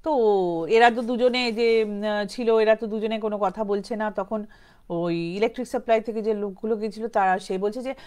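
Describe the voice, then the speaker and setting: A woman's voice: medium pitch, steady tone, moderate pace, darkish tone. A woman tells a ghost story informally, in a rambling conversational way with English words mixed in. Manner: conversational, rambling, mixes in English words, acts out quotes lightly.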